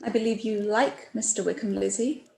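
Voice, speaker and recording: A woman playing a gentle, trusting elder sister in a period radio play, earnest and reasoning, in an echoey room. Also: mid-range voice, steady tone, normal speed, creaky, close to an everyday voice. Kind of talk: live-read period radio play acting. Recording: echoey room with background noise.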